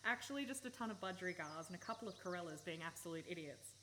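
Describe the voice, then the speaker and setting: Animated, bright Australian woman acting a blunt friend. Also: fairly low pitch, very fast. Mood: teasing, casual. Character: blunt, teasing young woman, bird-watcher, quick to snap. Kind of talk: naturalistic radio play acting. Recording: live recording with faint background noise.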